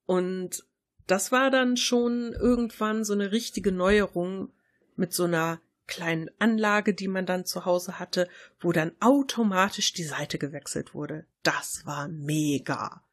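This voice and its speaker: A woman's voice: on the low side, measured pace. A middle-aged woman, chatting casually and colloquially as a podcast co-host. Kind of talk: casual chat, reminiscing.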